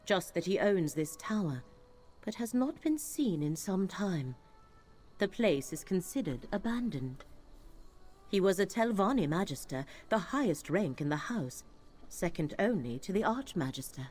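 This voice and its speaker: Solemn fantasy game seer woman, lowish voice w lots of swing, formal and cryptic, music under it. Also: sing-song, unhurried, a little mellow. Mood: grave, explaining. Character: solemn, mystical woman companion guided by fate. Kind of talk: fantasy video game acting.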